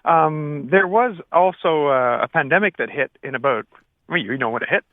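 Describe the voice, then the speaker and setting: A man's voice: on the high side, expressive, dry recording. Older man on a narrow phone line. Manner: halting, plain-spoken, often rewording mid-sentence. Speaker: retired air traffic controller, interview guest. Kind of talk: explanatory radio interview. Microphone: phone call.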